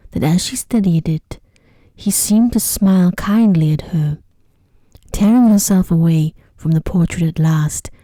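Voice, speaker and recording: A woman reading a sleep story in ASMR style, with a soft, whispery voice and a gentle, hushed delivery. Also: on the low side, no echo.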